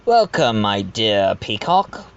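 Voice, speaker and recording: A man plays a genial widowed doctor hosting a mystery dinner in a fan audio drama. He talks slowly with lively swings of pitch, confiding and a bit hesitant. The recording is a little dull and lo-fi. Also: clean tone, exaggerated voice. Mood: genial, flustered. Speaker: narrator and host of the dinner party. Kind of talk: fan audio drama acting with narration asides.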